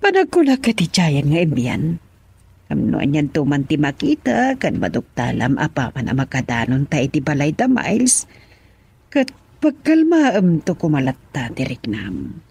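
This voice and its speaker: Wary middle-aged Filipino matron for radio drama: deep, husky, animated delivery. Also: female voice, normal speed, heavily acted. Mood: wary, cautioning. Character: cautioning older matron, a wary mother. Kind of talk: Ilocano radio drama acting.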